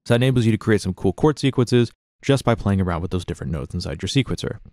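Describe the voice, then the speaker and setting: A man narrating a casual step-by-step software tutorial in an informal, explanatory way. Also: fairly low pitch, animated, fast talker, heavy background noise.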